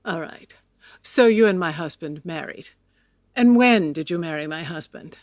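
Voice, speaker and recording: An actress playing a wealthy, haughty 1920s widow with an arch, sardonic manner in an audio drama. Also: female voice, sits low, expressive, moderate pace, no echo, clean studio sound. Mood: icy, controlled. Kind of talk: period audio drama acting.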